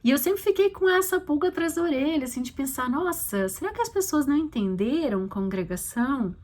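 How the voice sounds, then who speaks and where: A woman's voice: high voice, lively intonation, a little fast, a little mellow. A Brazilian woman talking casually and reflectively as an author and podcast host, explaining things conversationally with frequent little check-in tags. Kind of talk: casual reflective commentary on her own short story.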